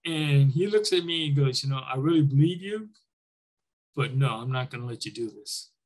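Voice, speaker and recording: A man's voice: mid-range voice, animated, moderate pace, a little mellow. An older man, a military veteran, recalling his war service in an informal storytelling way, often voicing remembered dialogue. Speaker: military veteran, former Army air traffic controller, interviewee. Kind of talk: conversational war reminiscence.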